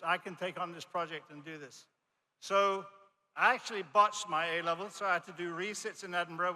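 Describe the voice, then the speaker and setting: A man's voice: high-pitched, pushed and bright. An older man from Jamaica with a Jamaican accent, giving a friendly, storytelling commencement speech from a podium. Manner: friendly, storytelling, self-deprecating. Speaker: commencement speaker. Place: large hall. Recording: reverberant hall.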